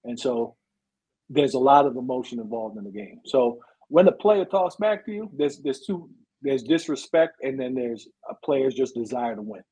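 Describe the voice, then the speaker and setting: Husky-voiced male football coach explaining. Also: mid-range voice, animated, normal speed, warm tone. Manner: explanatory, punctuates points with "right" and "you know". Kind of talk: conversational interview advice. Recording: dull, lo-fi sound.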